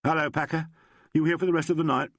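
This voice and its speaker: A man voicing an urbane gentleman detective who talks quietly in a musing, wry way. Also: on the high side, fairly even intonation, quick pace, dry recording, studio quality, pitched above the performer's own voice, close to an everyday voice.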